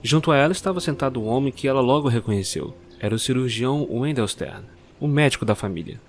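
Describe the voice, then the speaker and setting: A man narrating a dark Christmas fairy tale slowly and dramatically. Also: mid-range voice, lively intonation, a little hiss or hum. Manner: slow, dramatic storytelling. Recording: clear music bed under the voice.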